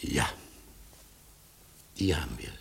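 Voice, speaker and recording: An actor playing a sceptical, wry German émigré gentleman in a radio play, doubting as he speaks. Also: slow pace, close to an everyday voice. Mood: surprised, cautious hope.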